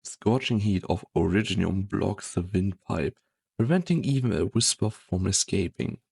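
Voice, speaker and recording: A man narrating a game story in a measured, solemn, dramatic scripted style. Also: lowish voice, vocal fry, darkish tone, husky.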